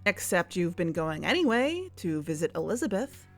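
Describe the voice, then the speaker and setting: A woman's voice: lowish voice, sing-song, moderate pace, faint music behind. A woman playing an anxious, cautious and conflicted investigator in a naturalistic audio drama. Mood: wary.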